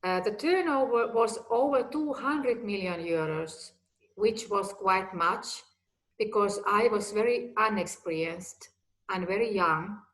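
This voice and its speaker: A woman in her fifties talks in measured, unhurried English, each phrase placed with care. Her voice sits at an ordinary middle pitch for a woman, and she keeps her sentences simple and direct, as someone who learned the language later. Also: a bit bright, rough recording. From Finland. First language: Finnish or Swedish. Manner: slow, deliberate, simple phrasing. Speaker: guest executive. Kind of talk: personal talk.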